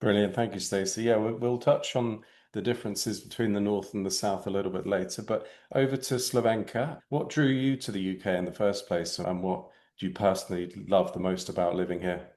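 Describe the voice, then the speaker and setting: A man's voice: fairly low pitch, fairly even intonation, brisk, few pauses, a little mellow. Guy hosting a podcast, polished, reading his intro lines. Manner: polished, reads scripted intros. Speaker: podcast host. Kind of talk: hosted podcast interview.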